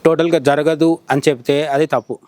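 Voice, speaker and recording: A man's voice: on the high side, steady tone, moderate pace, darkish tone, no room sound. A middle-aged man with a Telangana Telugu accent giving conversational news analysis as a journalist, explaining by posing questions and answering them. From India. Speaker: journalist interviewed as commentator.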